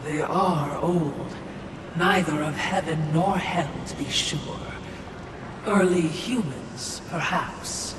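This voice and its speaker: A clever female spirit servant from a fantasy game, wheedling and prim. She draws her words out slowly with snippy deference. The sound is echoey and set back, with noise around it. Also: low voice. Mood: musing, obsequious. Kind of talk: dramatic video game acting. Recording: distant, echoey hall with background noise.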